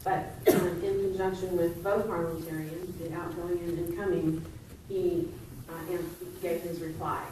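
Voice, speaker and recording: A woman's voice: fairly low pitch, flat delivery, moderate pace, dark tone, recorded from a distance, slight background noise. Emphatic woman speaking in an echoey hall. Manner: emphatic, plainspoken. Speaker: meeting member. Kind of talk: addressing a meeting.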